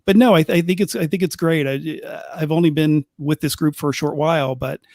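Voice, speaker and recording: A man's voice: fairly high pitch, fairly quick, a little mellow, dry recording, clean studio sound. A middle-aged man, a longtime sports reporter on a podcast panel, talking casually with lots of restarts. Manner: rambling, many restarts and fillers. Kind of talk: casual chat.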